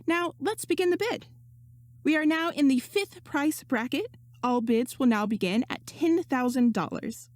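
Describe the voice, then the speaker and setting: Woman voicing a high, showy female auctioneer. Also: lively intonation, unhurried, no room sound. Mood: showy, rousing. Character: poised, showy female charity auctioneer working the crowd.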